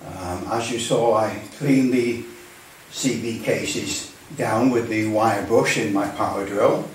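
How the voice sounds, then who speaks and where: A man giving an informal how-to narration in a halting, unscripted way, recorded at a distance in an indoor workshop. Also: fairly low pitch. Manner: halting, unscripted delivery with many hesitations. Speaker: hobbyist presenter demonstrating restoration. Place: hard-surfaced workshop indoors. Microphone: distant camera microphone. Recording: very echoey, distant, noisy room sound.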